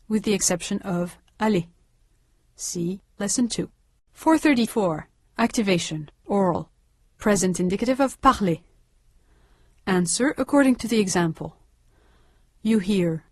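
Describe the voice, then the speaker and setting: A woman reading a language course aloud, with slow, careful and precise enunciation. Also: mid-range voice, darkish tone, no echo. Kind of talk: scripted instructional reading.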